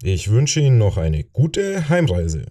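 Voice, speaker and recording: A man's voice: fairly low pitch, huge pitch swings, unhurried, warm tone, heavily acted. A man voicing a grandfather who works as a tour guide, formal and courteous, in an audiobook. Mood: polite, formal. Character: grandfather tour guide politely closing a castle tour.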